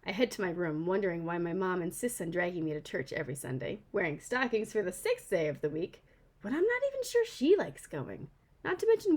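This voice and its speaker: A woman reads her own children's novel aloud as a first-person story. Her delivery is very lively, with the pitch moving up and down freely in an expressive storytelling style. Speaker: author reading own book.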